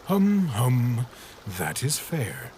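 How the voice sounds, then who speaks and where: A man's voice: medium pitch, huge pitch swings, drawn-out words, noisy background, exaggerated voice. A man voicing a cryptic hooded ferryman in a fan comic dub, speaking in dreamy sing-song riddles. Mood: mysterious.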